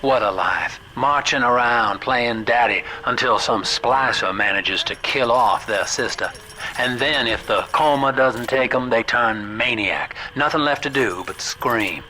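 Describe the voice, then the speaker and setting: A man's voice: mid-range voice, a little slow, sharp tone, slight background noise, low-quality recording. An actor playing a slick, world-weary older businessman in a video game, with a folksy, familiar drawl. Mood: wry, urgent.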